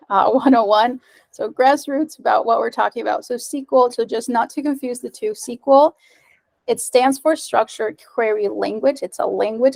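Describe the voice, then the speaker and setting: A woman's voice: mid-range voice, gravelly, no room sound, rough recording. A woman in her thirties whose first language is Spanish, giving a casual, friendly tech meetup talk over slides on a video call, with frequent ums and uhs. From United States. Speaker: meetup speaker, mobile developer. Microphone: webcam on a video call.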